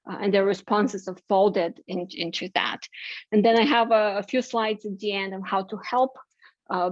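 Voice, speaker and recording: A woman from Bulgaria, a political science professor, giving an academic talk over a video call in a measured way, with many fillers and restarts. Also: mid-range voice, no room sound, highs cut off. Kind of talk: academic presentation.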